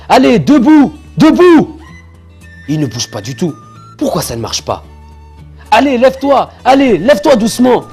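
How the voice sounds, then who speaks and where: A man voicing a bumbling, cowardly impostor healer in an animated religious story, blustering and easily flustered. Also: high voice, husky, exaggerated voice. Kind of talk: French-language animated religious story dub.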